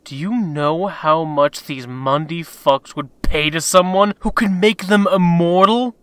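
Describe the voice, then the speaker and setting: A man's voice: a little high, a little slow, a bit bright, clean tone, no echo. A man voicing a cocky, impatient, wisecracking rogue in a comic dub, with a brash, slangy way of talking. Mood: cocky, scheming.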